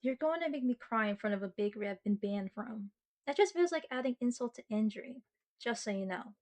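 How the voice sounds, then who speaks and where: A woman's voice: fairly high pitch, animated, darkish tone, lightly acted. A woman acting a sweet, sheepish girlfriend in an ASMR roleplay, chatting earnestly and bashfully to the listener with playful self-mockery. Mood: touched, grateful. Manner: chatty, halting, bashful with dramatic mock seriousness.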